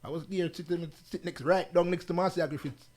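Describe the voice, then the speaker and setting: A man from the UK with a Jamaican accent, the guest on an interview show, telling stories in a relaxed, patois-laced way. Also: on the high side, brisk. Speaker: guest artist. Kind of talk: casual interview chat.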